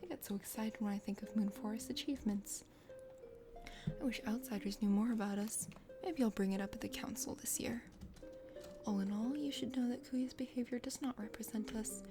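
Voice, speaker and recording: A woman voicing a chatty, condescending dragon sister from a forest kingdom in a video game, speaking formally in a soft ASMR whisper. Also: fairly high pitch, fairly quick, lightly acted. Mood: proud, chatty. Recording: music behind the voice.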